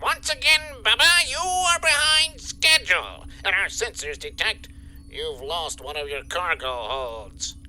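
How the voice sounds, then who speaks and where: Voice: medium pitch, animated, a little slow, bright, forceful tone. A performer voicing a petty, gloating, theatrical boss who scolds in a comic sci-fi audio serial. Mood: scolding. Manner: scolding, mock-sorrowful, theatrical. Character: petty gloating greedy general manager boss.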